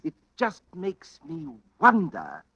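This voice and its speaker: Retired bank clerk: courteous, hesitant, suspicious, with a sharp, tense voice, old-time radio thriller style. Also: male voice, a little high, huge pitch swings, slow pace, slightly rough, no echo, full character voice. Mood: polite, uneasy. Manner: polite, hesitant, stammering.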